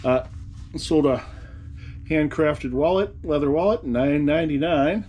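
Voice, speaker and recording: A man's voice: on the high side, a little slow, dull audio, slight background noise. A man in his forties, a reseller vlogger, talking to the camera from behind a desk in a cluttered room, with a wry, rambling manner. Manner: chatty and wry, rambling asides. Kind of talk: casual talk to camera. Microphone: camera in front of him at the desk.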